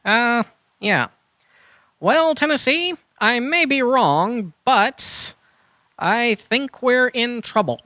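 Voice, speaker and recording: A woman's voice: a little high, lively intonation, takes it slowly, pushed and bright, no room sound, studio quality, full character voice. A woman playing an overconfident, oblivious treasure-hunting hero in a comedic children's audio drama, earnest and upbeat. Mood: sheepish, earnest. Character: Chattanooga, cheerfully clueless, overconfident treasure-hunting adventurer hero.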